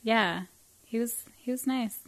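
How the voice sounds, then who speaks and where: A woman's voice: fairly high pitch, measured pace. Friendly laughing woman singer. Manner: friendly, laughs easily, rambling. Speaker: guest, country singer. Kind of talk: casual interview chat.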